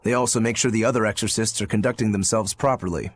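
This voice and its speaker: A man's voice: fairly low pitch, steady tone, fast, a little hiss or hum, lightly acted. An actor playing a laid-back, worldly male demon in a game, speaking with dry wit. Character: easygoing adult male demon, wry and worldly.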